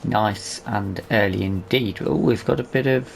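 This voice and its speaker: A man's voice: lowish voice, unhurried, creaky, slight background noise, poor audio. A man giving casual let's play commentary, hesitant and musing aloud to himself as he hosts a gaming video.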